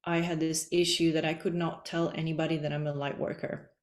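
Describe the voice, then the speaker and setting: Low monotone woman from czech republic, rambles with lots of likes, roomy echo. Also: fairly quick. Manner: rambling, many "like" fillers. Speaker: podcast guest, energy healer. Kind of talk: casual interview chat.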